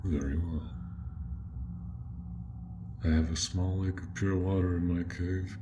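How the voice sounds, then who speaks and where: A man's voice: low-pitched, fairly even intonation, unhurried, dull audio, background noise. A man voicing a courteous, awkward male dragon, proud but smitten, with formal old-fashioned phrasing in a scripted roleplay addressed to the listener. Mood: hospitable, courteous.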